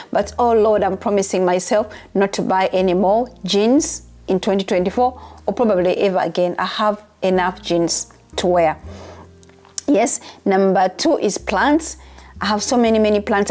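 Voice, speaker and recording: A woman's voice: medium pitch, darkish tone. A young woman from Uganda vlogging in a casual chat to camera, chatty and repeating herself for emphasis.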